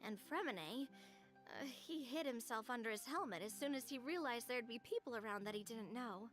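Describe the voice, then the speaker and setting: A woman's voice: fairly high pitch, animated, moderate pace, a bit bright. A woman voicing a sociable, bubbly young lady in a video game, with a playful, effusive and theatrical manner. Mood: weary. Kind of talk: animated video game voice acting. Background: game music.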